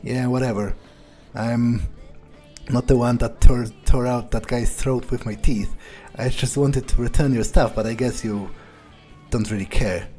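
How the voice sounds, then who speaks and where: A man playing a sardonic, pragmatic vampire, muttering his thoughts aloud in a hesitant, deadpan way as in-character game commentary. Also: mid-range voice, a little slow, faint music behind, close to an everyday voice. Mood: dismissive. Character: sardonic, pragmatic male vampire sneaking around. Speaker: game player roleplaying a character.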